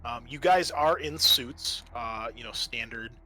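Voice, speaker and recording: Bright-voiced male game master. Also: medium pitch, a little slow, rough recording. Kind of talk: narrating a tabletop game session.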